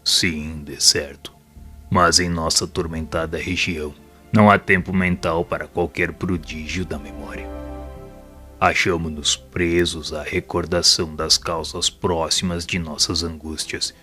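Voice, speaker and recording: An actor playing a solemn, repentant spirit mentor in an audio drama, speaking in a grave, formal and measured way. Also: male voice, low-pitched, steady tone, pushed and bright, soft background music. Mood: grave, humble. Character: solemn, repentant male spirit assistant and mentor, a former doctor. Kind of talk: audio drama dialogue, formal literary monologue.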